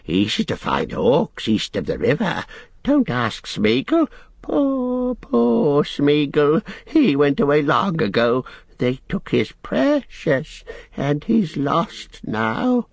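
A man's voice: fairly high pitch, wildly swooping pitch, slow pace, vocal fry, no echo, voice pushed up, full character voice. A man voicing a wretched, cunning, self-pitying creature with a strained whine, repeating phrases and calling itself we, in an audiobook. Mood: miserable whining. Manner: hissing repetitions, speaks of himself as we.

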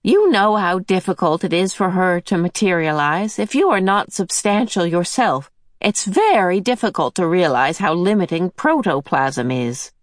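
A woman's voice: lowish voice, expressive, dry recording, studio quality, natural voice. A woman voicing a cheerful, motherly old otherworldly female being who soothes and fusses. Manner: bright and soothing, brisk.

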